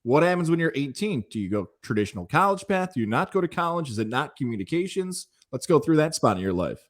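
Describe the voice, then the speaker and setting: A man's voice: a little high, big pitch swings, fast. An American man hosting an interview, conversational and enthusiastic as he prompts his guest. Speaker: interview host.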